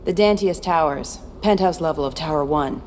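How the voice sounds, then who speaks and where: A woman's voice: low-pitched, moderate pace, darkish tone, a little hiss or hum, close to an everyday voice. A woman playing a tough, cynical former security chief in video game dialogue, blunt and guarded. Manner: blunt, matter-of-fact.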